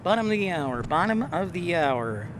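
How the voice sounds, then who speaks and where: Male livestreamer from Texas, higher-pitched, chatty and halting, recorded outdoors amid noticeable vehicle noise. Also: big pitch swings. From Texas, United States. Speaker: livestream host. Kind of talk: casual live commentary, reading and answering chat. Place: outdoors near an airport.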